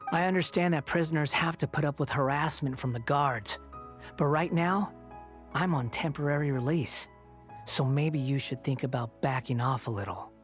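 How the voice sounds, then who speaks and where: A man's voice: fairly high pitch, moderate pace, faint music behind, close to an everyday voice. An actor playing a smug, sardonic young man in a video game, speaking in a cool, snide and condescending manner. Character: smug, sardonic young male prisoner on temporary release.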